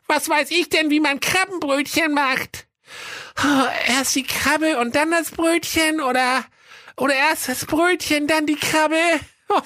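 A man's voice: very high-pitched, pushed and bright, no echo, clean studio sound, pitched above the performer's own voice, heavily acted. A man voicing a dim, flustered young woman in a comic fairy tale, whining and muddled.

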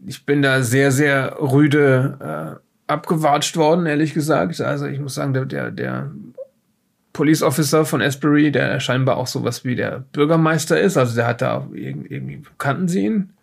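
A man playing a haughty, evasive witness under police questioning in an audio drama, halting and correcting himself as he talks. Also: mid-range voice, animated, creaky. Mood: uneasy, hesitant. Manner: hesitant, halting, indignant, with self-corrections. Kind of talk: improvised in-character audio drama dialogue.

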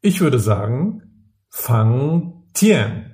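A man's voice: medium pitch, very animated, very slow, darkish tone. A man co-hosting a casual podcast, with a dry, teasing manner. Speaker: podcast co-host.

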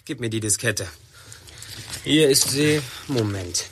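Brisk, animated young detective. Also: male voice, medium pitch, slight background noise. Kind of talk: German audio drama acting.

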